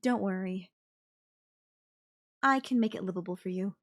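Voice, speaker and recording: A woman voicing a whimsical, flippant goddess in an ASMR roleplay, musing airily with long dramatic pauses. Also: mid-range voice, close to an everyday voice. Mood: playful, friendly. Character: whimsical, airy, flippant yandere goddess watching Earth die. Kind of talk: ASMR audio roleplay acting.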